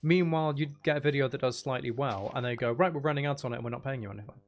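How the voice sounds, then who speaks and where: A soft-spoken man chatting casually on a livestream as an ASMR creator, explaining things in a candid, aggrieved way. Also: mid-range voice, rapid-fire. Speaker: ASMR content creator and streamer. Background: music in the background.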